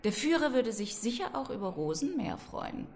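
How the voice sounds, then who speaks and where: A woman's voice: a little high, sing-song, normal speed, darkish tone. An actress playing a nervous, envious middle-aged shopkeeper's wife in a radio drama, gushing and catty as she gossips. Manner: gushing, catty small talk.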